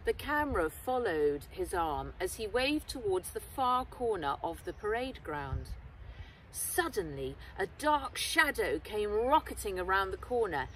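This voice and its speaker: A middle-aged woman reading a children's adventure story aloud with dramatic, deliberate emphasis, recorded outdoors. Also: mid-range voice, fairly bright tone. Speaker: author reading own book aloud. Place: outdoors in woodland. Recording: distant mic, noisy outdoor ambience.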